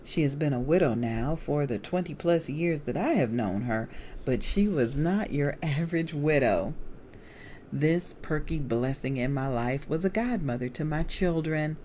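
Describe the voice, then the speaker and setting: A middle-aged American woman reading her memoir aloud as author and evangelist, with deliberate, expressive storytelling. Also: low-pitched, a little hiss or hum.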